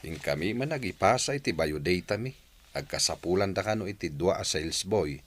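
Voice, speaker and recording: A man's voice: low voice, expressive, fairly quick. An actor playing a cheerful middle-aged working father in an Ilocano-accented radio drama. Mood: hopeful.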